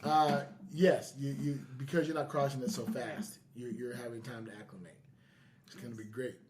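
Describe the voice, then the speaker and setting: A man's voice: medium pitch, fairly even intonation, normal speed, darkish tone, slight background noise. A man hosting a podcast in casual chat, often repeating his phrases for emphasis. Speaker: podcast host.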